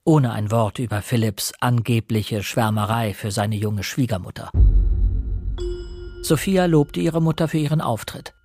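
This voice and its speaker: An actor playing an observant young gentleman who narrates a murder mystery in a measured, literary radio drama style. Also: medium pitch, no room sound, faint music behind.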